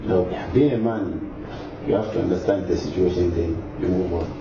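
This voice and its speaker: Deep-voiced man, halting and calm interviewee, recorded from a distance, noisy and muffled. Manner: hesitant, many fillers, calm. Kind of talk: casual interview answers. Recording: distant echoey lo-fi recording with noise.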